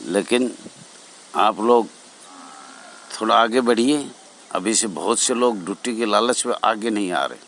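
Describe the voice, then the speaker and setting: An older man giving an informal address as an association leader, exhorting his fellow members in halting, repetitive phrases. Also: fairly low pitch, a bit bright, low-quality recording. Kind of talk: informal spoken address to fellow home guards.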